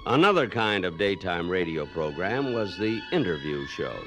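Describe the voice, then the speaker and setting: A man's voice: sits low, big pitch swings, moderate pace, over music. A man hosting with scripted, nostalgic documentary narration, on a dull vintage recording. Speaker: host and narrator.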